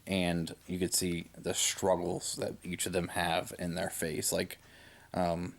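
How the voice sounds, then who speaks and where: A young man talks through a casual vlog while under the weather, rambling and pausing on lots of fillers. His voice sits very low and flat, with a gravelly rasp. He speaks slowly and unhurriedly. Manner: rambling, hesitant with many fillers. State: sick, recovering from lost voice. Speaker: vlogger.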